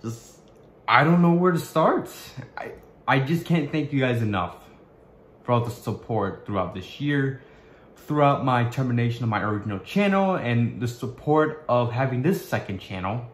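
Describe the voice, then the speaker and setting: A young man vlogging to his webcam in a small bedroom, talking in a halting way with many restarts as he searches for words. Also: mid-range voice, echoey room, slight background noise. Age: young adult. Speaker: YouTuber. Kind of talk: casual vlog to camera.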